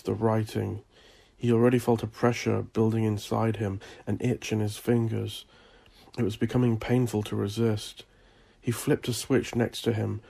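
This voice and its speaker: A man reading dark fantasy horror as a scripted story, with a measured, deliberate delivery. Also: on the low side, gravelly. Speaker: audiobook narrator.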